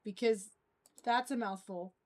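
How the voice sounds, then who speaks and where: A woman hosting a livestream in a casual, rambling fan chat, halting and often trailing off mid-thought. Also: medium pitch, steady tone, darkish tone. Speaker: livestream host.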